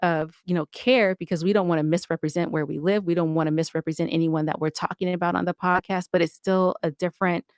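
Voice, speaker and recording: A woman's voice: lowish voice, steady tone, fast, hardly any pauses, smooth, no room sound, studio quality. A woman podcaster speaking conversationally as an interview guest, giving advice in an emphatic, opinionated way.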